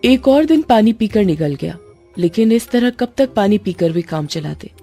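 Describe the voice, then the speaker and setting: Mellow woman storyteller over music. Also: medium pitch, animated, normal speed. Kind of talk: scripted narration of a Hindi moral horror story. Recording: music under the voice.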